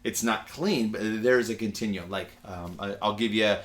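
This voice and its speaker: A man's voice: lowish voice, moderate pace, a bit bright. A man with an American accent giving a casual, digressive lecture in a conversational, anecdotal way, like a university teacher.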